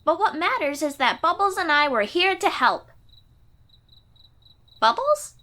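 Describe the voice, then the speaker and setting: Fantasy audio story role: plucky young girl warrior from the sea played by a woman, bright and lively, unhurried, perky. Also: very high-pitched, full character voice. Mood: cheerful, eager. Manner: perky, informal, expressive. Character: confident thirteen-year-old sea-dweller girl warrior, cheery and chatty. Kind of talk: fantasy audio roleplay addressed to the listener.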